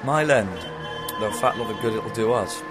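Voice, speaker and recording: A man's voice: on the low side, normal speed, over loud music, lightly acted. A man playing a weary, wry time-traveling Doctor with dry, understated humor. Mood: resigned. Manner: dry, gloomy, understated wit. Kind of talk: fan audio drama acting.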